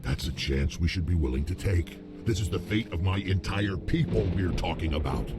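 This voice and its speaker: A man playing a gruff, distrustful, embittered alien mercenary warrior in a video game, blunt and weighty, with a gravelly rumble. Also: lively intonation, normal speed. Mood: bitter, resolute. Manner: blunt, forceful, weighty delivery. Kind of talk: dramatic video game acting. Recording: loud game music behind.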